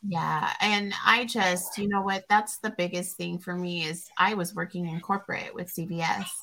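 Woman host, bright edgy tone, lowish pitch, earnest and reflective, halting with fillers. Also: fairly even intonation, gravelly, slight background noise, poor audio. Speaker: podcast host. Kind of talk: casual podcast interview.

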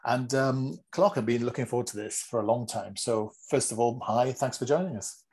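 A man's voice: mid-range voice, normal speed, a little mellow, slightly rough. A man, speaking as the host of an interview podcast. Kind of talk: scripted podcast introduction and interview.

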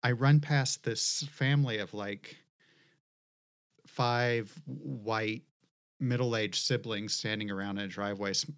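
A woman's voice: very deep voice, fairly even intonation, slow pace. Middle-aged woman cohost, rambly story, swears a lot, lots of um and like. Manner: swears casually, rambling anecdote with fillers. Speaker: podcast co-host. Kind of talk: casual chat, storytelling.

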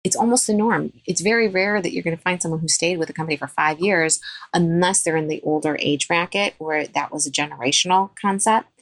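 A woman dentist speaking as a podcast guest, with a somewhat low voice. She talks in an emphatic, advice-giving way. The sound is dry and close, with no room echo. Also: brisk. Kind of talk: podcast interview.

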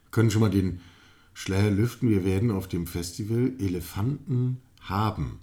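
A man hosting a casual conversational podcast, playful and lively, sitting across from his guest at a studio mic. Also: on the low side, moderate pace. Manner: playful, lively, toys with his own phrasing. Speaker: podcast host, futurist.

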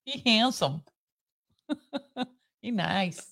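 A woman's voice: on the high side, animated, extremely slow, vocal fry, a bit bright, heavily acted. A woman imitating a chatty woman manicurist with a put-on Vietnamese accent, speaking in clipped, broken, teasing phrases.

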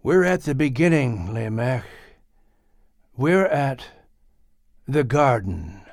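A male narrator plays a grave old patriarch from a biblical audiobook. He speaks slowly and gently, with sorrow and care, in a close, dry recording. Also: mid-range voice, lively intonation, gravelly, dry recording. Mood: sad. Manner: grave, deliberate, measured. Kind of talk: audiobook character acting.

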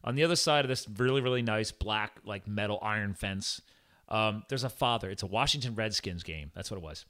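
A man in his forties with a Philadelphia-area American accent, hosting a casual podcast chat in an informal, animated way. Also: fairly low pitch, brisk. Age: 40s. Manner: animated, informal, peppered with "like" and "guys". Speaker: podcast host. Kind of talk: casual conversational podcast interview.

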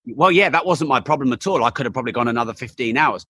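Entrepreneur guest, bright forceful tone, dry close recording, energetic chatter. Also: male voice, mid-range voice, rapid-fire, husky, dry recording. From England. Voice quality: voice still not fully recovered. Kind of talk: casual interview chat.

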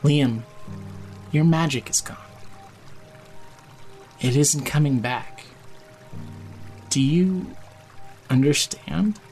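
A tipsy, straight-talking young man in an audio drama. His voice sits fairly high for a man, and he pushes his point in slangy, gruffly caring speech, with music underneath. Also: extremely slow, pauses often, darkish tone. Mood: blunt, firm. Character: drunk, blunt, foul-mouthed young man confronting his friend. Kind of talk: audio drama acting, naturalistic.

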